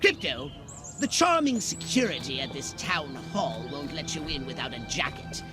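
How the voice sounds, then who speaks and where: Pompous alien overlord, high voice swooping all over, droll game villain, loud music behind. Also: male voice, very animated, moderate pace. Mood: commanding. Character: shrill, imperious male alien commander, theatrical and scheming. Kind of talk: video game villain acting.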